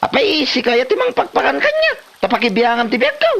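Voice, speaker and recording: A man's voice: very high-pitched, wildly swooping pitch, fairly quick, creaky, a bit bright, heavily acted. A man playing a lazy young husband with a brusque, dismissive manner in a comedy drama. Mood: defiant.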